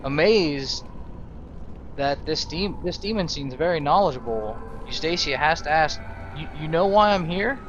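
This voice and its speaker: A man hosting a gaming video, improvising role-play narration in a halting, searching storytelling manner. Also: a little high, lively intonation, fairly bright tone, dull audio. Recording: noisy game audio behind voice. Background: video game ambience.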